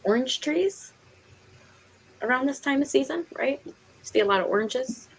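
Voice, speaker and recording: A woman's voice: sits high, lively intonation, moderate pace, gravelly, slight background noise, rough recording. A woman with a Northeastern American accent hosting a live stream, chatting casually in a giggly, talkative way. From Pennsylvania and New York, United States. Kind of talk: casual chat.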